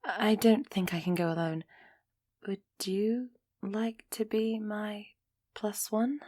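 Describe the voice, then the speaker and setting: A woman's voice: medium pitch, fairly even intonation, slow pace, mellow, dry recording, studio quality. A woman playing a young runaway elf heiress in an intimate roleplay audio drama, defiant yet anxious and affectionate, often sighing and sinking into whispers. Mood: anxious, tender. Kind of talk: roleplay ASMR audio drama, close and breathy.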